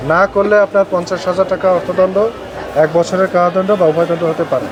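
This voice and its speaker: A man's voice: high-pitched, level tone, fast talker, muffled recording, crowd noise. A Bangladeshi man speaking as a consumer rights official, formally questioning and explaining rules during an inspection. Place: recorded on location at market shops. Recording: busy outdoor market street noise.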